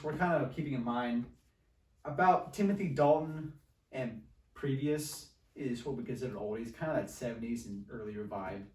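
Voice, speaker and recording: A man's voice: medium pitch, a little mellow. A man co-hosting a podcast, discussing films in a casual, conversational way. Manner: informal, conversational. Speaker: podcast co-host. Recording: roomy echo, distant microphone.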